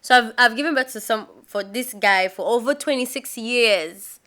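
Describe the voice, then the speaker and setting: A woman's voice: a little high, normal speed. A young Nigerian woman co-hosting a casual podcast chat, telling stories with emphatic reactions. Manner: animated storyteller, emphatic. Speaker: podcast co-host.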